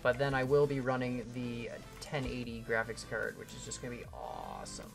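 A man's voice: mid-range voice, big pitch swings, normal speed, gravelly, over music. A young man streaming a game live from his home computer, chatting and rambling as he answers viewers. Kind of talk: casual live stream chat while building in a game.

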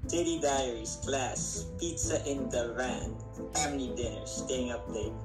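A woman's voice: very deep voice, a little slow, roomy sound, loud music behind the voice. A woman chatting casually on a video call, with a playful, dramatic way of phrasing things. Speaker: sibling on a call. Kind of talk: casual chat.

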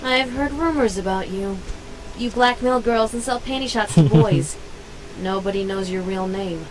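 A woman's voice: mid-range voice, normal speed, natural voice. A woman voicing an obsessive, menacing teenage schoolgirl stalker in a video game, cold, intense and possessive. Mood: guarded, suspicious. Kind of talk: dramatic game monologue and dialogue. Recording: noisy game playback, barking dog behind.

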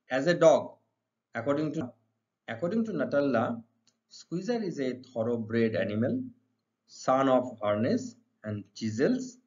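Indian man teaching, very lively swooping pitch. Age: middle-aged. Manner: didactic, repeats phrases. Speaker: English literature teacher. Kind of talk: exam-preparation lesson, reading questions and explaining answers. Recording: dull, muffled lo-fi sound.

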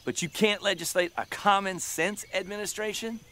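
An actor playing a jocular 1930s hitchhiking troubadour, rambling and wisecracking in folksy talking-blues style for radio drama. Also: male voice, sits high, fairly even intonation, moderate pace, sharp tone. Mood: wry, spirited. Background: acoustic guitar.

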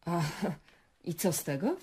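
A woman's voice: on the low side, big pitch swings, unhurried, dark tone, husky, full character voice. An actress playing an evasive woman journalist in a radio drama, cornered and indignant in her denials. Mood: feigned composure. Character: defensive, evasive female journalist cornered as murder suspect. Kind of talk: Polish radio drama acting.

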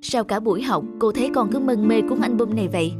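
A woman voicing a young, kindly schoolteacher in a cartoon, measured and tender. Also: fairly high pitch, natural voice. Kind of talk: cartoon voice acting. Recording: loud music behind the voice.

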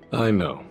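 A man playing a calm, weary, gentle general in a video game, speaking in an unhurried way.

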